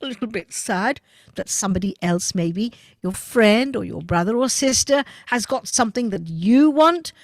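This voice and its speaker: A woman telling a children's story, animated and talking directly to the children. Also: medium pitch, normal speed, no room sound.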